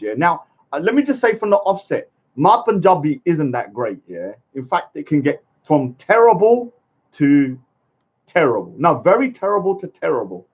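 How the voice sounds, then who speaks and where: A man rants in a blunt, combative way, his pitch leaping up and down as he pushes each point. His voice sits fairly high for a man. The recording sounds dull and lo-fi. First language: English. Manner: blunt, combative, repeats "yeah?" and "let me say something". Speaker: speaker's corner vlogger. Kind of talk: ranting monologue.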